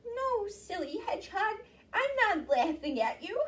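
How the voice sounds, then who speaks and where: Lisa, a woman doing a shrill little girl. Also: very animated, a little slow, fairly bright tone, distant mic, exaggerated voice. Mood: amused. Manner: shrill, giggly. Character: little girl who lost her sock.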